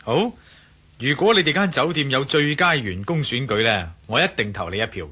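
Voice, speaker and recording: A man's voice: medium pitch, animated, moderate pace, bright, forceful tone, breathy edge. A man playing a curious, teasing Hong Kong tourist in a radio drama, casual and wry. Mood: wry, skeptical. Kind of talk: Cantonese radio drama acting.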